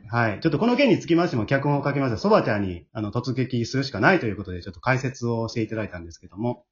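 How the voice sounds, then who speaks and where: A man's voice: medium pitch, animated, very fast. A man hosting a casual chat show, using polite announcer-style phrasing and reading out messages. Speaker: host. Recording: muffled lo-fi audio with background noise.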